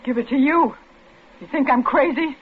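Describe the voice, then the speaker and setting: A woman's voice: moderate pace, natural voice. An actress playing a terrified, defiant and overwrought woman in a 1940s radio thriller. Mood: defiant, fearful. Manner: shrill, overwrought. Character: terrified, defiant, hysterical woman trapped in a car. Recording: muffled, noisy old radio broadcast.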